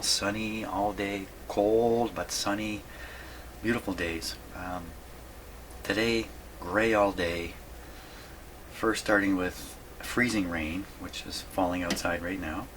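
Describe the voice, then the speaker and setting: A man's voice: fairly low pitch, fairly bright tone, a little hiss or hum, poor audio. A man giving casual, folksy workbench commentary as a hobbyist host, musing aloud to himself. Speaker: radio repair hobbyist hosting a video.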